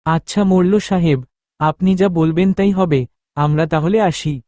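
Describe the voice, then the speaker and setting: Man as worried high-voiced village father. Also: fairly even intonation, normal speed, dry recording, studio quality, lightly acted. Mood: friendly, deferential. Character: worried, deferential village father on tight budget. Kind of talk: cartoon voice acting. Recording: loud ambient rain noise behind.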